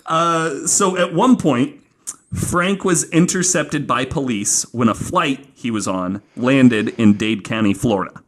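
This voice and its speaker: Guy on a podcast telling a true story, casual, sweary, normal pitch. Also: measured pace, fairly bright tone. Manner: informal, profane, acting out quoted remarks. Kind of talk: casual storytelling from notes with banter.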